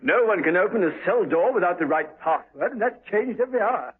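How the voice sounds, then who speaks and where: High-voiced, flustered, officious colonel. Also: male voice, lively intonation, fairly quick, husky, full character voice. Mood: bewildered. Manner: formal, defensive, hesitant when apologetic. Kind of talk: 1970s radio drama acting. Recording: muffled old radio broadcast recording.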